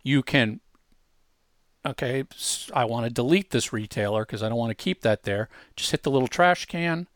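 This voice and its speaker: A man's voice: mid-range voice, moderate pace, no echo, studio quality. Male tutorial presenter, conversational and emphatic, walking through steps casually in a medium register. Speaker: presenter demonstrating a web tool.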